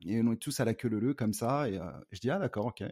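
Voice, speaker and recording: Relaxed, halting man in an interview. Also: medium pitch, slightly rough. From Paris suburbs, France. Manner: hesitant, halting, colloquial. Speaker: guest, rap artist. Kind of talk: casual interview talk.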